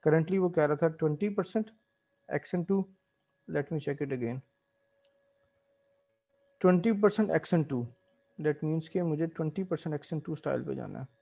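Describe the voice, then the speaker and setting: A man's voice: fairly high pitch, a little slow, gravelly, long pauses. A man, explaining spreadsheet tasks step by step as an instructor, mixing in English terms. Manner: mixes English terms into explanations, steady teaching pace. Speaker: Excel instructor. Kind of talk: screen-recorded software tutorial.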